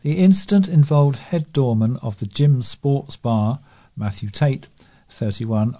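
A man's voice: medium pitch, warm tone. A man with a British accent reading scripted local news as a volunteer newsreader.

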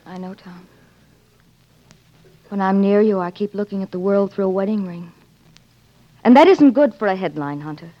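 A woman's voice: medium pitch, moderate pace, long pauses, natural voice. An actress playing an ambitious, romantic newspaperwoman, earnest and witty, in 1940s radio drama style. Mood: torn, resolute. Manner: earnest, dramatic, clipped wit. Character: ambitious, romantic American newspaperwoman torn by love. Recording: muffled 1940s radio recording.

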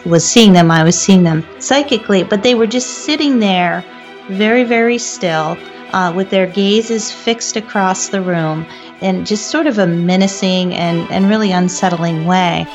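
A woman interview guest recounting things conversationally, in halting phrases. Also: mid-range voice. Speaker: guest, paranormal investigator and medium. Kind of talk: interview account in conversational speech. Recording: dull lo-fi remote-sounding line.

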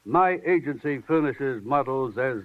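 Man acting supercilious businessman, medium pitch, pompous, precise, sardonic, thin vintage radio drama recording. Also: animated, a little slow, narrow, tinny audio, lightly acted. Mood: haughty, sardonic. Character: haughty, fat, sardonic male model agency owner.

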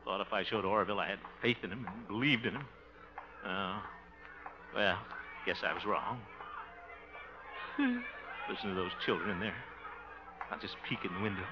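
A man's voice: lowish voice, very animated, moderate pace, long pauses, crowd noise. Flustered, blustery man, wildly swooping voice. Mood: dejected. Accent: American. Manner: blustery, exclamatory, muttering to himself. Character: flustered, soft-hearted man fretting like an overgrown boy. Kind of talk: 1950s radio comedy acting. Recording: muffled, very noisy old radio recording.

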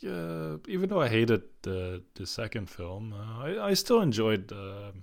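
A man co-hosting a casual film podcast, halting and full of restarts, laughing often. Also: on the low side, sing-song, gravelly. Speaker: podcast co-host.

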